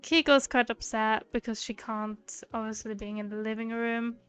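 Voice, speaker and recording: A woman's voice: on the high side, level tone, normal speed, a bit bright, clean tone. A woman streamer chatting casually and affectionately while she plays a game. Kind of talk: casual livestream chat. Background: game music.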